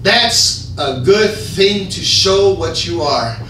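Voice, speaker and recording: A man preaching a sermon in a church, fervent and often shouting as the congregation answers him. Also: high-pitched, lively intonation, takes it slowly. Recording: echoey church room, from far off, faint hum.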